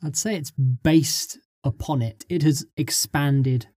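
A man's voice: on the high side, slow pace, dark tone, husky, dry recording, clean studio sound. A man speaking as a podcast guest, giving brief, tentative answers in a casual interview. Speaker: podcast guest, script writer.

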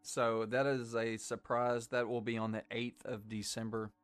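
A man with a mix of Southern US and Irish accent, a laid-back podcast host with a voice deepened by a cold, talking bluntly. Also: level tone, normal speed, clean tone, dry recording. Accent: Southern US mixed with Irish. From Arkansas, USA. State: voice deeper than usual from a cold. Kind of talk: casual podcast chat.

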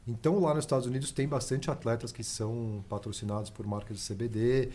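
A man's voice: mid-range voice, steady tone, fast, dark tone. A man, guest athlete on a podcast, explaining thoughtfully with frequent hesitations. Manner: thoughtful, filler-heavy explaining. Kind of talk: conversational explanation.

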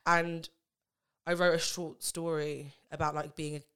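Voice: medium pitch, little pitch movement, a little slow, a bit bright, clear, resonant tone. A young adult guest writer talking casually in a podcast chat, conversational and full of fillers. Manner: conversational, chatty with many fillers.

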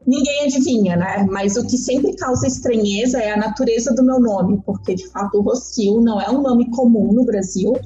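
A woman in her thirties, from Argentina and raised in southern Brazil, talking about her life as a podcast guest in an unhurried, casual way with lots of fillers. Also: a little high, highs cut off. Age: 30s. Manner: relaxed, unhurried storytelling with frequent fillers. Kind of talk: casual interview chat.

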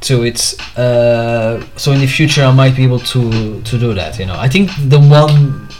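A man's voice: medium pitch, moderate pace, darkish tone. Hesitant man. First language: Portuguese. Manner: hesitant, many fillers and restarts. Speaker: podcast host. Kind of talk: rambling solo vlog. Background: faint music.

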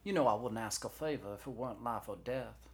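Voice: mid-range voice, fairly quick, pitched above the performer's own voice, close to an everyday voice. A storyteller voicing a small male talking head with a mustache, a sly charmer with a coaxing, folksy way of talking.

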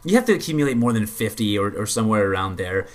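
A man's voice: lowish voice, sing-song, quick pace. A man co-hosting a casual gaming podcast, rambling and stammering with repeated words, and swearing when annoyed. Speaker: podcast co-host.

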